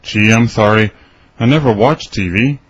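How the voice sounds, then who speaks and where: A man reading his part in a scripted English conversation for learners, his voice slightly husky. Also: lowish voice, moderate pace. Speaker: dialogue reader for English learners. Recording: dry, slightly dull studio recording.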